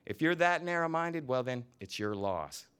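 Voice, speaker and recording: A man reading aloud and voicing a fiery middle-aged woman who scolds in a combative, shrill way. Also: lively intonation, moderate pace, a bit bright, clear, resonant tone. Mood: indignant scolding.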